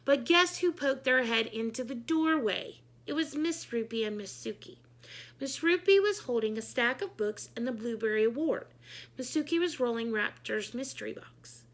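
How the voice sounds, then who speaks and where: A woman reading a children's book aloud in an animated, expressive storytelling manner with dramatic emphasis. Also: a little high, normal speed. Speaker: read-aloud storyteller, teacher.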